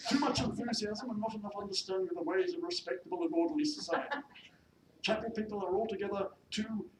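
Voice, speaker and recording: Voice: medium pitch, fast, voice pushed up, close to an everyday voice. Reader voicing an imperious, peevish gentry widow. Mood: indignant, scolding. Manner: imperious, scolding, formal. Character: haughty, peevish Welsh gentry widow, bossy employer. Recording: noisy echoey room, distant mic.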